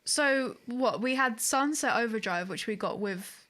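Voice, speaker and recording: A woman's voice: a little high, expressive, a little slow, a bit bright. A woman co-hosting a video game podcast in an informal, conversational way. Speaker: podcast co-host. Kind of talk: casual podcast chat about video games.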